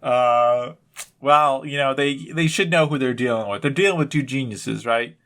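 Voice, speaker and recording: A man's voice: medium pitch, moderate pace, fairly bright tone. A man co-hosting a podcast in casual, jokey banter, rambling off on tangents. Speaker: podcast co-host.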